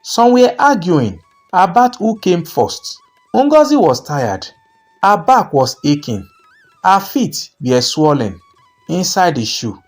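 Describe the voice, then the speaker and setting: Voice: medium pitch, sing-song, slow pace, faint music behind. A narrator telling a scripted folktale in a dramatic storytelling style.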